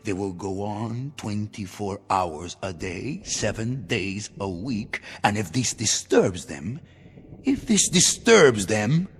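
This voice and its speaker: An actor playing a paranoid, bitter revolutionary general in a 1960s television drama, with a theatrical, deliberate, menacing delivery. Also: male voice, on the low side, sing-song, slightly rough, full character voice. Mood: defiant, ruthless. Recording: old TV soundtrack, echoing, music underneath.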